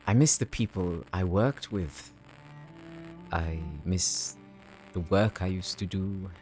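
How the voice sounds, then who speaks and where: Low-voiced, earnest space tech played by a man. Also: takes it slowly, a little mellow, soft background music. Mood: wistful. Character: earnest, homesick, chatty male spaceship tech worker from space colony. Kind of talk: anime-style audio roleplay acting.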